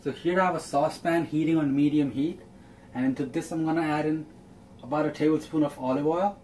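A man's voice: a little high, fairly even intonation, fairly quick, a little mellow, slight background noise. A young man hosting a cooking channel, walking through a recipe step by step in a friendly, upbeat way in a small home kitchen. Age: young adult.

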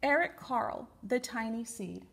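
A middle-aged woman with a slightly husky voice, reading picture books aloud expressively for young children as a library storytime reader. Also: a little high, expressive, normal speed, background noise.

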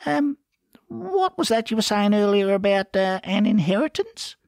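Audiobook part male reader: loutish Australian brawler, coarse and cocky, pitched up with a very animated swing. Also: normal speed, no echo, clean studio sound, full character voice. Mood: suddenly polite. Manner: crude, loud, combative. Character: foul-mouthed, aggressive, sneering Australian pub lout.

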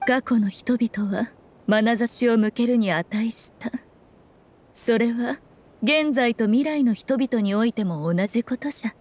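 An actress playing a teasing, ageless fox shrine maiden in an anime game, with lofty, playful, old-fashioned phrasing. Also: female voice, a little high, a little slow, darkish tone. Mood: musing. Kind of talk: scripted anime game dialogue.

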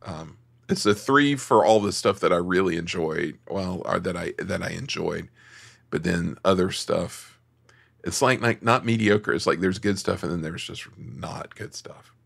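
Low gravelly man in casual chat. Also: measured pace, a bit bright. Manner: hesitant, thinks aloud with many restarts. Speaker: podcast co-host. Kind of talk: casual film review chat.

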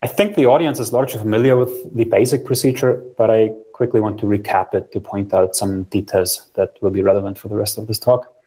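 A young man presenting a technical platform over a video call, steady and explanatory with frequent ums, in a small room. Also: fairly low pitch, fairly quick, slightly rough, poor audio. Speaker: software engineer presenting a research platform. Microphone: video call, webcam framing.

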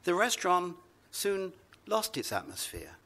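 A man reading his own literary story aloud to a live festival audience, measured and wry. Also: high voice, wildly swooping pitch, pushed and bright.

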